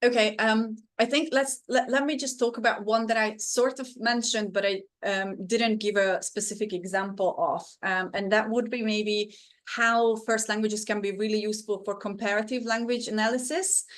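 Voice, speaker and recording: A woman's voice: mid-range voice, steady tone, normal speed. Earnest Hungarian woman giving a webinar. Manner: earnest, encouraging, with frequent fillers. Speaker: webinar presenter, teacher trainer. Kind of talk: online webinar presentation.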